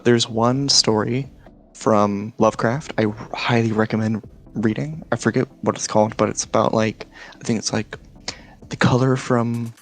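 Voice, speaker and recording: A man talking as a guest in a casual explanatory chat, halting as he searches for his words. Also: mid-range voice, steady tone. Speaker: guest, series creator.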